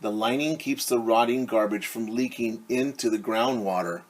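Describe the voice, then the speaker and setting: A man's voice: medium pitch, normal speed. A middle-aged man, a teacher, reading a picture book aloud to children and explaining things simply. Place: small room at home.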